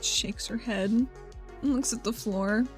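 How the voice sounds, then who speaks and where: A woman's voice: fairly high pitch, slow, soft background music. A woman playing a tabletop game, improvising in a casual, somewhat tentative way. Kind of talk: casual improvised role-play.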